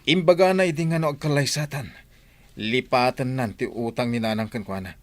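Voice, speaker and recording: A man's voice: mid-range voice, moderate pace, close to an everyday voice. An actor playing a blunt, stern man in an Ilocano village radio drama. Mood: angry.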